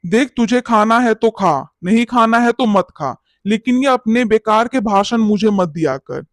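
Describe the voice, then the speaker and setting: A man's voice: sits high, lively intonation, measured pace, dry recording, studio quality. A man playing a greedy, glib and bossy village priest in a cartoon bedtime story, scolding and cajoling. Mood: irritated. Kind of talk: animated bedtime story character acting.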